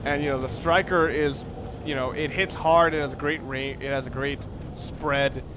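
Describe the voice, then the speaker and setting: A man's voice: mid-range voice, steady tone, moderate pace, background noise. A man doing casual, unscripted game commentary, thinking aloud in a rambling way and often correcting himself. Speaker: let's player.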